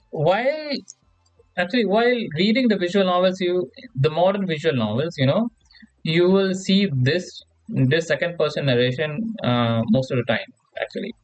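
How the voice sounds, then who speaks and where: A man giving an informal tutorial lecture in a halting, repetitive way, often checking in with the listener. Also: a little high, no room sound, rough recording. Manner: halting, repetitive, often seeks agreement with "right?". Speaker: tutorial presenter. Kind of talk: informal lecture explaining slides.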